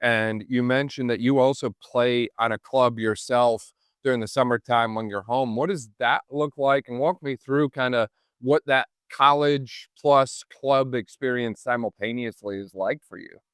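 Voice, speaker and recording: A man's voice: medium pitch, fairly even intonation, unhurried, clean tone, no room sound, clean studio sound. A man hosting a podcast, interviewing in an effusive, wordy conversational way. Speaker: podcast host.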